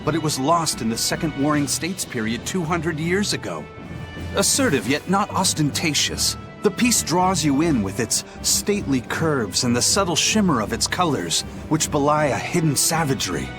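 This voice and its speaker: A pompous connoisseur in an anime-style game, lecturing grandly. The delivery is florid and very animated, with music clearly behind. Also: male voice, on the high side, normal speed. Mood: rapturous lecturing. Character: pompous, passionate male antiques connoisseur, fervently enthusiastic. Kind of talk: English-dubbed anime game cutscene acting.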